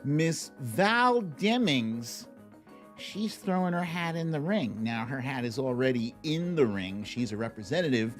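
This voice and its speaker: An American man hosts a casual livestream at a slow, unhurried pace. His voice sits at a medium pitch, and he talks informally and expressively to his viewers. Music plays behind him. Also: lively intonation. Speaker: host of a live online talk show. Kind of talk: casual livestream chat and commentary.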